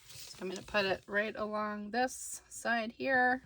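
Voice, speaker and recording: Casual female craft tutorial host, bright mid-pitched voice, informal, thinking out loud, noticeable background noise with clicks. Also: fairly even intonation, a little slow. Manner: informal, thinking aloud while working.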